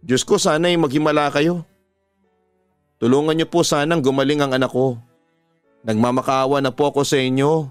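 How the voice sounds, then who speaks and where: A man's voice: fairly high pitch, fairly even intonation, normal speed, long pauses, clean tone, no room sound, faint music behind, close to an everyday voice. A Filipino man voicing an anguished young mother who pleads for her sick child in a radio drama story. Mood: praying, desperate.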